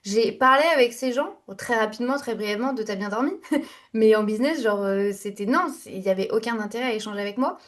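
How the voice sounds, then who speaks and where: A young woman speaks on a podcast in a casual, candid way. Her voice sits a little high, and she circles back on her sentences with fillers. She sounds frank and unguarded. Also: quick pace. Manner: candid, chatty, many fillers and restarts. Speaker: podcast guest, entrepreneur. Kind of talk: casual interview storytelling.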